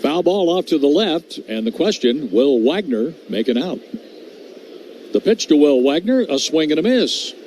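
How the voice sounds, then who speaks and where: Male baseball radio commentator, mid-pitched, dark mellow tone, faint background noise. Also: sing-song, normal speed. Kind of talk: live radio sports commentary and banter. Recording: slightly noisy radio broadcast.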